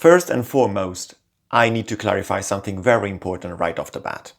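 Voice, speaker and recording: Lower-voiced man. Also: brisk, gravelly, faint music behind. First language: Italian. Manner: lively, shifting intonation with frequent small fillers. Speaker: language-learning channel host. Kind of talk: scripted talk to camera.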